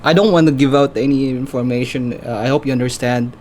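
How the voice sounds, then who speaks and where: A man's voice: medium pitch, steady tone, a little fast. Male Filipino vlogger, native Filipino speaker, Filipino accent, middle register, casual and hesitant delivery, slight background noise. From Philippines. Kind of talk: casual conversation and commentary.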